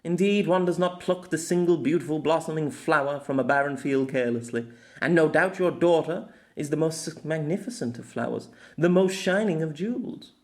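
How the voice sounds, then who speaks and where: A haughty young noblewoman of a fantasy audiobook, acted out by a man, pitched well up high. She speaks theatrically, with indignant, accusing airs and swooping emphasis. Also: normal speed, a little mellow, close to an everyday voice. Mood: dramatic reading.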